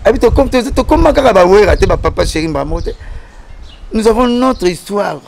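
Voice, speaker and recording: A man with a gravelly, fry-laden voice sitting a little high for a man. He rambles through a story, circling back and repeating himself, and acts out the people in it. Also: lively intonation, a little fast, a little mellow. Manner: digressive, repeats words and restarts, acts out quoted voices. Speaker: guest storyteller. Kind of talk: animated storytelling chat.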